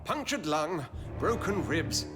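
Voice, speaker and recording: A man's voice: a little high, big pitch swings, slow pace. An actor playing a badly injured male physician in a video game, earnest and evasive, his voice strained. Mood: pained, resigned. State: strained, gravely hurt. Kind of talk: dramatic video game acting. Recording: dramatic music behind.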